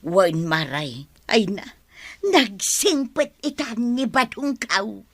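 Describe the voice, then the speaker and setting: Gossipy village woman, high animated voice, slow drawn-out pace, Filipino Ilocano accent, radio drama. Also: dry recording.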